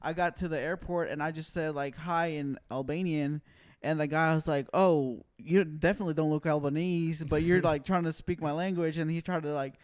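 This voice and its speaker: A male podcast host with a smooth voice pitched a little high. He tells stories in a loose, relaxed way, full of "like" fillers. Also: moderate pace, hardly any pauses. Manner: relaxed storyteller, many "like" fillers. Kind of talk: casual chat.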